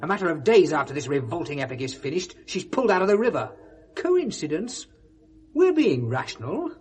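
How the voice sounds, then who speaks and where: A scornful Englishman in a vintage British radio play. His voice sits a little high, and he speaks with articulate, sardonic bitterness, pressing each accusation home. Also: big pitch swings, fairly quick, darkish tone, slight background noise. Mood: grave, angry. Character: bitter, accusing English man confronting a hypocrite. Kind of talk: 1970s British radio drama acting.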